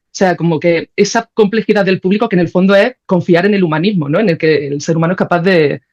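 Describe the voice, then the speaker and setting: A woman's voice: on the low side, fast, a little mellow, dull audio. A woman with an accent from Spain talking reflectively and informally in an interview, thinking aloud and often checking in with little tag questions. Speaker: podcast guest, composer and philosopher. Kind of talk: spontaneous, reflective interview conversation.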